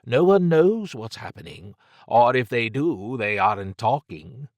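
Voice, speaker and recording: A man voicing a worldly, lazily amused woman thief who speaks in formal, measured phrases. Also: mid-range voice, big pitch swings, no room sound, pitched above the performer's own voice. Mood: cryptic.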